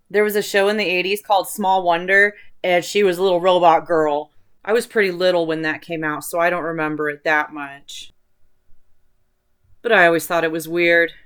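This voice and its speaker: A woman's voice: on the low side, a bit bright, smooth. A woman hosting an online video, talking in a casual, rambling, chatty way with informal enthusiasm for what interests her. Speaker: host of an online video channel.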